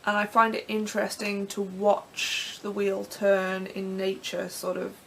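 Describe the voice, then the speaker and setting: A young Englishwoman with a croaky throat, rambling casually through vlog questions from her bedroom with plenty of ums and restarts. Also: medium pitch, completely flat delivery, measured pace, a little hiss or hum, low-quality recording. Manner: chatty, self-deprecating, many ums and restarts. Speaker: pagan vlogger. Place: bedroom in front of her altar.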